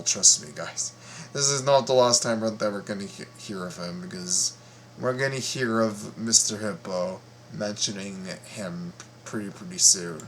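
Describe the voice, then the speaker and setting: A man giving casual, excitable fan commentary in a halting, repetitive way, full of exclamations. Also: fairly low pitch, slight background noise. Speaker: YouTube reactor and fan commentator.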